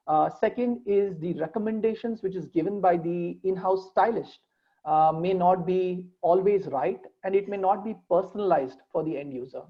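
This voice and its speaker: A man's voice: high voice, highs cut off. A man giving a webinar presentation, working through points with many fillers. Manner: hesitant, steady listing with many fillers.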